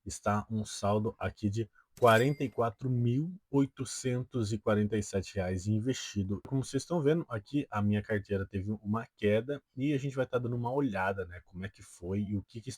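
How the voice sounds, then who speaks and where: A young Brazilian man of twenty hosts a casual finance explainer. His voice sits on the low side, recorded dry and close. He talks straight to his viewers and keeps dropping little tag questions into his sentences. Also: fairly quick, few pauses, a little mellow, breathy edge, dry recording. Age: 20 years old. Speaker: personal finance video host.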